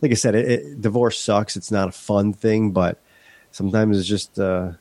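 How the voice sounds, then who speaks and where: Stuffed-up man with a very low voice. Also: steady tone, moderate pace, darkish tone, no echo. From Western New York. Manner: candid, rambling, many restarts. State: stuffed up from a head cold. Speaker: podcast guest. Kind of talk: casual interview chat.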